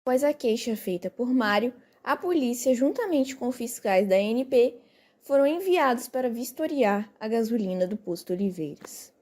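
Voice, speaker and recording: A woman's voice: on the high side, animated, normal speed, a little mellow. A woman with a Brazilian accent reading scripted story narration as the narrator.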